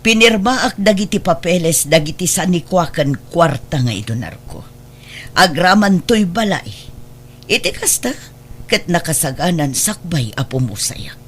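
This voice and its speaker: A woman's voice: lowish voice, very animated, normal speed, a little hiss or hum. An actor playing a stern, resolute older wealthy adoptive mother with an Ilocano accent in a radio drama. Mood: stern, hurt.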